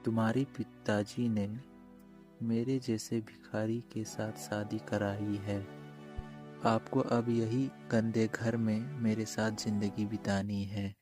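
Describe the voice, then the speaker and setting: Man playing a low, monotone, stern beggar. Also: slow pace, soft background music. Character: poor beggar husband, stern and blunt. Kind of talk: cartoon fairy-tale character dialogue.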